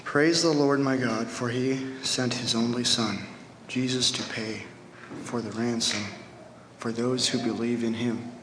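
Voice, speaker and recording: A young man from Ohio giving an earnest, halting testimony at a Bible school and reading a short poem he wrote. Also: medium pitch, steady tone, fairly bright tone, a little hiss or hum. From Ohio, USA. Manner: earnest, halting, reads his poem slowly. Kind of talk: spoken testimony and recited poem. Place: church hall. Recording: echoey hall with long reverb.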